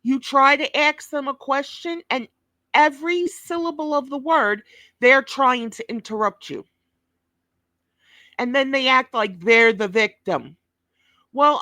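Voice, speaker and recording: Woman commentator, scolding and profane, slow deliberate delivery, dry close sound. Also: high-pitched, bright, forceful tone, no room sound. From New York. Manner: blunt, scolding, heavy swearing. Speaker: commentator reacting to a clip.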